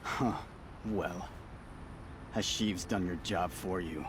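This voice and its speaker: An actor playing a cynical, unrepentant escaped convict and smuggler in a video game, speaking with a dry, sardonic manner. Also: male voice, sits low, slow pace, background noise. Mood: wary, resigned. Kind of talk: dramatic video game acting.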